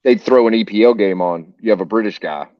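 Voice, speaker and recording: A man's voice: lowish voice, a little fast, dry recording, highs cut off. A man hosting a podcast in casual chat, loose and profane with lots of filler words. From United States. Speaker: podcast host.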